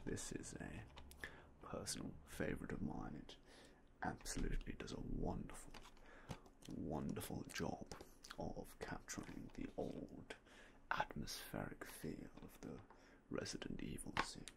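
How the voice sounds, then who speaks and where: Whispering man, gravelly low voice. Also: animated, measured pace, a little hiss or hum. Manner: quiet, whispery, polite shopkeeper patter. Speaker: game shop clerk in roleplay. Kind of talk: ASMR roleplay, soft-spoken whisper. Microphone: binaural mic, close.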